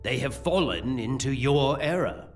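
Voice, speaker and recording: An actor playing a scheming, gloating villainous priest in an audio drama, with theatrical, drawn-out exclamations. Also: male voice, medium pitch, animated, distant mic, faint music behind, lightly acted. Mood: amused contempt.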